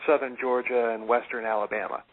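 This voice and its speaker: A man's voice: mid-range voice, fairly even intonation, fast talker, narrow, tinny audio. A man from Florida hosting a radio show, talking casually and hesitantly with lots of fillers. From American South, Florida. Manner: hesitant, many fillers and restarts. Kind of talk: casual radio interview chat.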